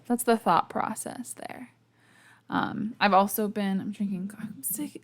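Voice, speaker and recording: Gravelly-voiced woman losing her voice. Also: medium pitch. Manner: chatty, self-deprecating, laughs often, lots of fillers. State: losing her voice, sleepy and hungover. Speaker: podcast host. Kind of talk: casual solo podcast chat. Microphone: podcast microphone.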